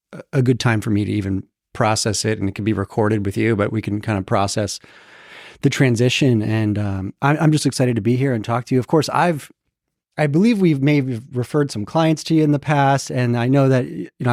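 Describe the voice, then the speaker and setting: A man's voice: mid-range voice, creaky, a little mellow, dry recording, studio quality. A man in his thirties speaking as an interview guest, reflective and earnest. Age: 30s. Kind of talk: casual interview.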